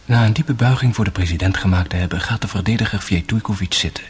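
A man narrating a radio drama as a grave, sorrowful young brother, in measured, literary phrases that sink to a hush. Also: lowish voice, husky.

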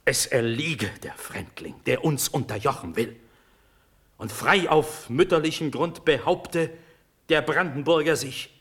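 An actor playing a young, nobly resolute prince in a classical radio drama, declaiming solemnly in measured verse. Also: male voice, fairly high pitch, animated, a bit bright, breathy edge, exaggerated voice. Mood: solemn, exalted.

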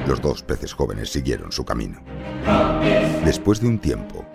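A man voicing a dark, solemn storyteller with a very deep, gravelly voice in a scripted radio narration. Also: exaggerated voice. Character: dark, solemn male voice from the shadows, telling a parable. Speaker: show's intro narrator.

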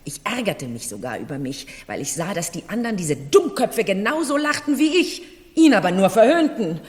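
An actress in a 1970s German radio play, playing a proud, high-strung middle-aged noblewoman with a haughty, confessional manner. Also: big pitch swings, normal speed, a little mellow, close to an everyday voice. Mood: confessional, wistful. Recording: reverberant room, vintage radio drama.

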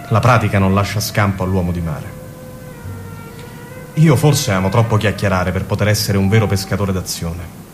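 A man's voice: fairly low pitch, close to an everyday voice. A man playing a tender, dreamy young man in love, reading a letter lyrically in a radio drama. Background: instrumental music.

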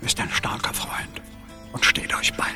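An actress playing a solemn, mysterious guide in a radio play, speaking in a portentous, breathy half-whisper. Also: female voice, a little slow, sharp tone. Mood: solemn, ominous. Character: mysterious, solemn wise guide warning young heroes. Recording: music under the voice.

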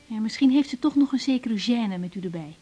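A woman's voice: fairly high pitch, lively intonation, fairly quick, dark tone. An actress playing a young female doctor on her first day, polite, patient and a little unsure. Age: young adult. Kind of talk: 1980s radio drama acting.

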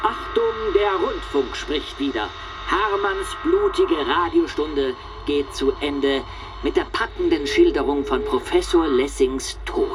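An actor playing a sensational 1930s radio announcer in a period broadcast style. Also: male voice, a little high, a little slow, noisy background, lightly acted. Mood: sensational, declaiming.

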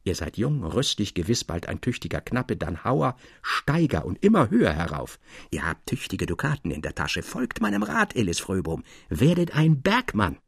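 A man's voice: fairly low pitch, wildly swooping pitch, moderate pace, creaky, husky, no room sound, clean studio sound, pitched below the performer's own voice, heavily acted. A man voicing a stern, persuasive old miner who speaks in a solemn, emphatic, old-fashioned way in an audio story. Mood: earnest persuasion. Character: old male miner, stern, persuasive, fervently proud of mining.

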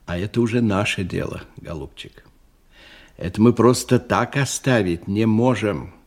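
Crime boss, deepish male voice, rough and husky, unhurried, impatient, domineering, suspicious, radio drama. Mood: threatening, commanding. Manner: bullying, interrogating, repeats himself broodingly. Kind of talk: Russian radio drama acting.

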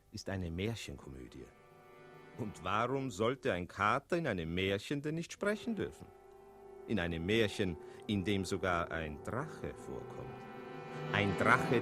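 Talking tomcat narrator, sly and playful, very animated, old radio play with music. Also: male voice, lowish voice, exaggerated voice. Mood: confiding. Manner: playful, theatrical, digressive, punctuated by meows. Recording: 1960s radio studio recording with music.

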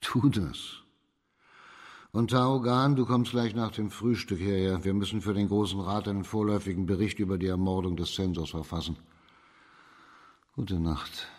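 A low-voiced, commanding judge. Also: male voice, fairly even intonation, a little mellow. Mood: decisive. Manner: measured, formal, commanding. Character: authoritative, shrewd male judge in imperial China. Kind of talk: German radio crime drama acting.

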